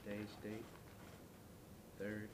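A man asking simple interview questions in a recording with loud background ambience. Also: on the low side, monotone, slow, very noisy.

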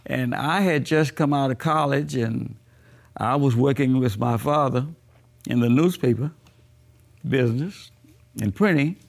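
An elderly man in his eighties recalls his life in an oral history interview. He speaks slowly and thoughtfully, with a gravelly, creaky voice at a middle pitch. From Bahamas. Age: 80s. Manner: slow, reflective storytelling.

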